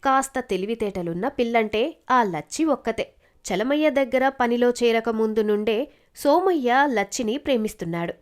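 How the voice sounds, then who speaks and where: Higher-pitched woman narrating a story. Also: lively intonation, moderate pace. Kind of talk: scripted moral story narration.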